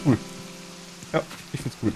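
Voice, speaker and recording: A man's voice: a little slow, darkish tone, soft background music, poor audio. A man with a Bavarian accent chatting casually and enthusiastically while streaming a game, laughing easily.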